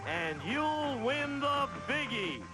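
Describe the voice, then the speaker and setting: A man's voice: extremely high pitch, big pitch swings, slow, over music. A man announcing the opening of a television game show, reciting a rhyme in a sing-song way. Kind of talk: rhyming show opening over music.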